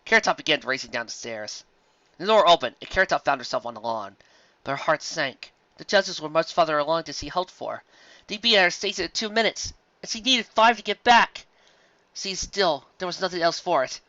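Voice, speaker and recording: Bright, lively fan-fiction narrator. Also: medium pitch, fairly quick, no room sound, lo-fi sound. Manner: plain read-aloud, occasional slips. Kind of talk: storybook narration.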